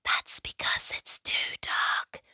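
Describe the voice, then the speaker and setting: Woman as an excitable frightened little frog, high-pitched. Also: a little slow, no echo.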